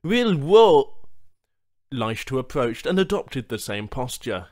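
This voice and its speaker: A man's voice: mid-range voice, sing-song, moderate pace. A man narrating a scripted short story as an audiobook reader.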